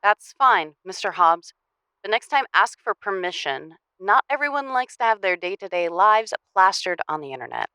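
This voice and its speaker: A woman's voice: medium pitch, animated, normal speed, sharp tone, clean tone, no echo. A woman playing a strict, formal university marketing lecturer in an audio drama, speaking with stern precision.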